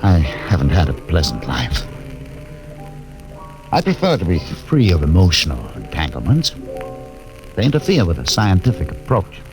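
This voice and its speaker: An actor playing a grave, emotionally detached refugee scientist who speaks in a flat, formal way in a 1950s radio drama. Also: male voice, sits low, normal speed, long pauses, full character voice, low-quality recording. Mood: somber. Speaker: ship's doctor. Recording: old radio broadcast with music.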